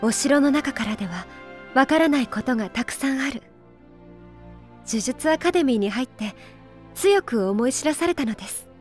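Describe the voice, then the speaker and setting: A woman's voice: high voice, lively intonation, moderate pace, pauses often, soft background music. A woman playing a dignified young princess in a Japanese video game, speaking politely and formally with earnest resolve. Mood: dignified, resolute. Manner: polite, formal, composed speech.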